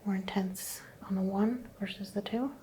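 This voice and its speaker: Woman, ASMR doctor roleplay, gentle whisper, mellow tone, faint background hiss. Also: mid-range voice, fairly even intonation, moderate pace. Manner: soft whispering, gentle step-by-step instructions. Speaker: ASMR creator playing a doctor.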